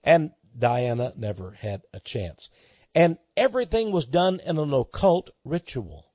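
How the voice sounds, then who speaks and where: Male radio preacher type, impassioned and repetitive for emphasis, very animated delivery, dry but slightly muffled sound. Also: mid-range voice, unhurried, dry recording. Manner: emphatic, dramatic, repeats phrases for effect. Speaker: talk show host and preacher. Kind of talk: impassioned monologue to listeners.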